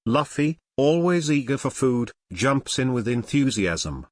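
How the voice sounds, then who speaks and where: A man's voice: medium pitch, sing-song, measured pace, dry recording, studio quality. A man reading scripted narration for an audio drama.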